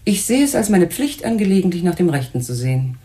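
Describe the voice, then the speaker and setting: An actress playing a proud, bitter older widow and mother in a German crime radio play, speaking in a formal, genteel, measured way. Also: on the low side, big pitch swings, dark tone. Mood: polite, composed.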